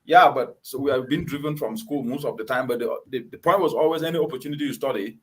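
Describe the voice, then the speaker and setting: A man's voice: mid-range voice, fast talker, husky. A man from Cameroon hosting a conversation, making his points with emphatic repetition. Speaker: host. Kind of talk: conversational interview.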